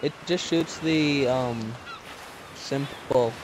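A man speaking matter-of-factly over game chat at an ordinary pitch. Loud game sounds carry underneath. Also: extremely slow. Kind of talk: casual gaming chat. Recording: noisy game audio.

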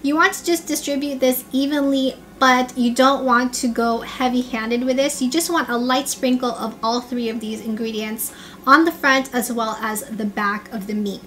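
High-voiced upbeat young woman vlogger. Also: a bit bright. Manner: upbeat, instructive, addresses viewers as you guys. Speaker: cooking vlogger. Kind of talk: cooking tutorial narration. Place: home kitchen, indoors.

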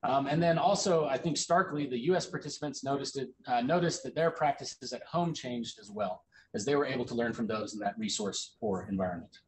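A man in his thirties from the United States, an orthopedic surgery resident giving a grand rounds talk, casual and quick with many ums and uhs. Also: mid-range voice, poor audio. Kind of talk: academic slide presentation.

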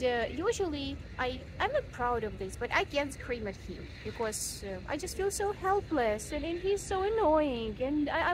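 Chatty woman vlogging outdoors by phone. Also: on the high side. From Ukraine. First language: Russian. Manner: chatty, many pauses and fillers, laughs often. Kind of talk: casual vlog chat. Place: outdoors in a city park. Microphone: phone camera held at arm's length. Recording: outdoor phone recording with clear ambient noise.

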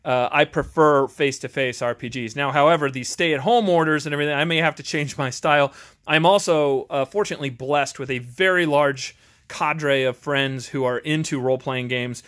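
A man's voice: on the high side, normal speed, fairly bright tone. Middle-aged American man, casual and rambling. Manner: rambling, self-deprecating. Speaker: host, tabletop game reviewer. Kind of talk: unscripted casual review chat. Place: home game garage.

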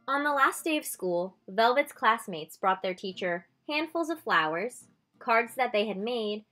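A woman reading a children's picture book aloud expressively, drawing out key words with deliberate emphasis. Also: a little high, sharp tone. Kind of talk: expressive storybook read-aloud.

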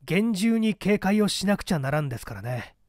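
A man voicing a calm, shrewd police detective who reports methodically and politely in a dramatized audiobook. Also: high voice, brisk, no room sound, clean studio sound, pitched above the performer's own voice. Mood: urgent, earnest.

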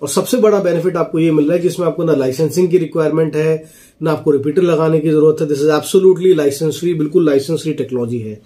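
A man's voice: on the high side, fast, warm tone. A man in his thirties giving a casual, explanatory product demonstration, dropping English phrases into his speech. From India. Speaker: product reviewer and walkie-talkie seller. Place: small office, indoors.

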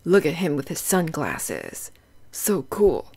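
Female children's read-aloud narrator, unhurried and animated, simple teaching phrases, questions to young listeners. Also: deep voice, husky.

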